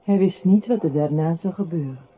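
A woman performing as the narrator of a literary radio play, telling a man's story in a measured way. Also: on the low side, natural voice. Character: woman narrator recounting a troubled man's life. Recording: tinny band-limited audio with clear noise.

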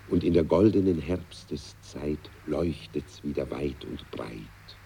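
A man's voice: very deep voice, slow pace, creaky, dark tone, husky. A man reciting a verse ballad in a theatrical, dramatic manner, savouring each line.

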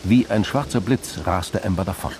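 A man narrating a radio crime drama in a measured, literary storytelling manner. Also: sits low, heavy background noise.

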